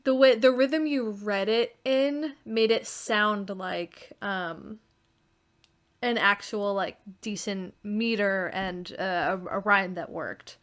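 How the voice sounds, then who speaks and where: Woman in casual podcast chat, mid-pitched. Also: slow, fairly bright tone. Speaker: podcast participant.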